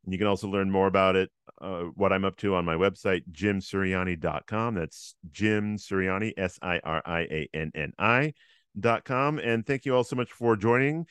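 Loud-voiced man hosting a podcast. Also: low voice, moderate pace, clear, resonant tone, dry recording. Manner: upbeat, repeats plugs, frequent ums and laughs. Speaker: podcast host. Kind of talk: casual interview chat.

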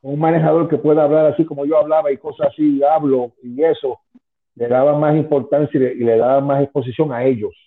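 A man's voice: fairly high pitch, level tone, quick pace. A man in his fifties, an interview guest who rambles with many restarts and asides. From Spanish-speaking, moved to the US at ten. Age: 50s. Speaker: guest, former wrestling manager. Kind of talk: casual interview on a call. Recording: tinny phone line.

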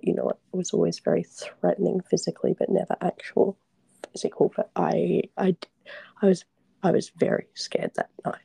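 A shaken woman speaks in a hushed, very breathy whisper with a gravelly edge. She halts and restarts often, and the sound is close and dry. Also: lowish voice, no echo, poor audio. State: shaken and trembling. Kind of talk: whispered confessional conversation.

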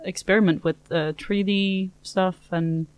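A woman's voice: medium pitch, a little slow, clean tone, no room sound. Young Norwegian woman, casual and dry. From Norway. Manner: casual, relaxed, laughs easily. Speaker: master's student. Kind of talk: interview answers.

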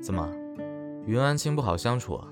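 A man playing a laid-back young actor in an audio drama, speaking in an unhurried, understated, dryly teasing way. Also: mid-range voice, animated, music in the background, lightly acted. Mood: relaxed, teasing. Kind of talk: modern radio drama acting.

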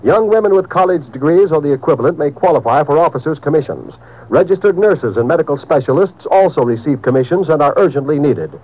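An American man working as a radio announcer, reading a script in a formal, earnest 1950s broadcast style with measured emphasis. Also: on the high side, animated, a little mellow. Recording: dull, noisy vintage radio recording.

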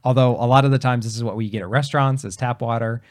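A man's voice: medium pitch, steady tone, quick pace. A man co-hosting a podcast in casual chat, explaining things step by step, his voice just recovering after he lost it. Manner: explanatory, many fillers and restarts. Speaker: podcast co-host.

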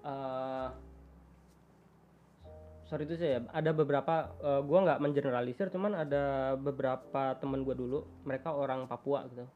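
A man from Java talking in a lively, slangy way as a podcast host. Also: medium pitch, moderate pace, phone-quality sound, soft background music. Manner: animated, chatty, slangy. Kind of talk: casual chat.

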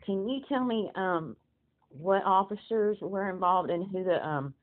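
A woman phoning to make an inquiry, speaking haltingly and repeating herself. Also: mid-range voice. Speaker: caller requesting a police report. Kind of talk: phone inquiry, recorded by the caller. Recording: muffled, narrow telephone call.

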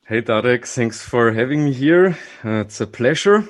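A man's voice: mid-range voice, moderate pace. A man, a trainer and consultant, talking casually as a podcast guest and thinking aloud with restarts. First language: German. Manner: relaxed, thinking aloud with restarts and small laughs.

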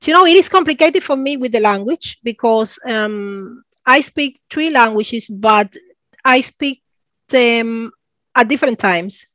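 Give me a fairly high-voiced Cuban woman in her forties telling her story in accented English. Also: expressive, unhurried, pushed and bright, dry recording. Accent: Cuban Spanish. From Cuba. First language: Spanish. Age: 40s. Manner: searching for words, laughing often. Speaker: guest. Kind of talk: interview conversation.

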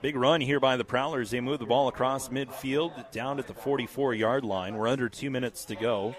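A man with a scratchy voice calling a high school football game play by play, steady and measured, with casual fillers. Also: a crowd in the background. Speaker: play-by-play announcer.

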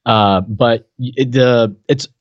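A man of middling pitch chats casually as a podcast co-host. He is eager to jump in and often restarts his sentences. The recording is close and dry, with a slightly dull tone. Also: steady tone, slow, no room sound. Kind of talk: casual chat recapping a comedy show.

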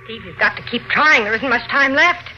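A woman's voice: on the high side, animated, brisk, natural voice. A woman playing an urgent, imploring girlfriend in a melodramatic vintage radio mystery. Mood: urgent coaxing. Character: urgent, pleading scheming girlfriend. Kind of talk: 1940s radio mystery acting. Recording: muffled, very noisy vintage radio recording.

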